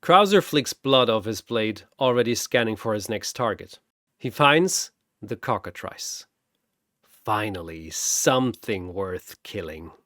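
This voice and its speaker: Male narrator, slow and dramatic, medium pitch, wry battle storytelling, very dry studio-like sound. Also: animated, a bit bright, no room sound. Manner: theatrical, deliberate, wry.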